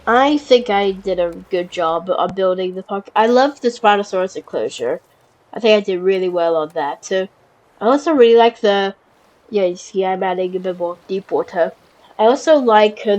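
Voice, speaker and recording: A woman with a cold and a stuffy, blocked nose talks her way through a park-building game in an easy, offhand way. Her sentences start and stop, full of fillers and self-corrections, with small excited lifts when something cute appears. Her pitch sits right in the middle. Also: rough recording. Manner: halting, with many fillers and restarts. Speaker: gameplay video creator. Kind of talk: casual gameplay commentary.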